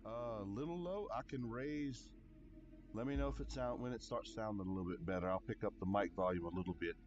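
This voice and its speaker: Deep-voiced man chatting over music. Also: a little fast, darkish tone, muffled recording. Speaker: game livestreamer. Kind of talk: casual chat with stream viewers. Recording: music behind. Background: clear background music.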